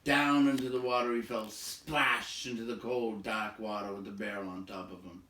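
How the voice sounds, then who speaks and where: A man's voice: fairly low pitch, steady tone, moderate pace, bright, forceful tone. Man reading a story in an echoey room. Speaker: audiobook-style reader. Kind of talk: storybook narration read aloud.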